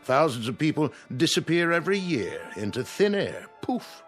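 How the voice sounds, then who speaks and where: An actor playing an insistent club debater who believes in the improbable, persuasive and emphatic, in a radio play. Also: male voice, on the high side, big pitch swings, normal speed. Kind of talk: radio drama acting. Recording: music under the dialogue. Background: music.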